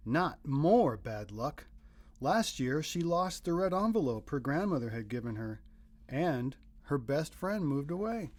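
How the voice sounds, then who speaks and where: A man reading a children's picture book aloud as narrator, in expressive scripted storytelling. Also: fairly high pitch, unhurried.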